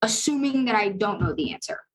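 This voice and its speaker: A young woman with a high-pitched voice talking in an upbeat, earnest and chatty way as a guest on a podcast. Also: lively intonation, a little fast, crowd noise, poor audio. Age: young adult. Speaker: loan officer guest. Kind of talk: casual podcast conversation.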